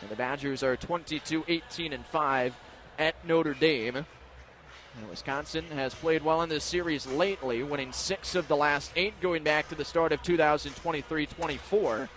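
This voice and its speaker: High-voiced man calling hockey over arena noise. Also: normal speed. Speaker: play-by-play hockey announcer. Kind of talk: live radio sports commentary. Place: indoor ice hockey arena. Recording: noisy live arena broadcast. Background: loud arena noise.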